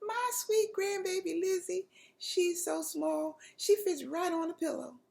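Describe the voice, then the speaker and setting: A woman's voice: very high voice, unhurried, creaky, dark tone, voice pushed up, exaggerated voice. A woman voicing an emphatic, firm grandmother from a storybook. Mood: fond. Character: stern, firm grandmother warning and doting on grandchild. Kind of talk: storybook character voice.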